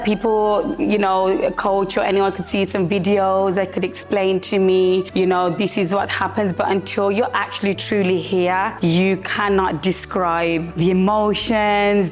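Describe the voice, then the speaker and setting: A woman from England, a workshop participant speaking enthusiastically and rambling with fillers. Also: mid-range voice. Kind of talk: testimonial interview.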